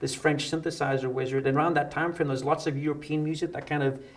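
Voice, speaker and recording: A man's voice: on the high side, level tone, fast. A middle-aged man from the United Kingdom telling anecdotes as a guest in a conversational interview. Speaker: interview guest, electronic musician. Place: dark indoor studio set, seated.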